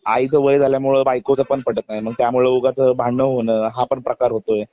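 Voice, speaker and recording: A man's voice: mid-range voice, little pitch movement, fairly quick. A man with a Maharashtrian Marathi accent on a phone call, politely and hesitantly justifying himself. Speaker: caller seeking a place for his mother. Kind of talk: phone conversation. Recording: muffled lo-fi phone call recording.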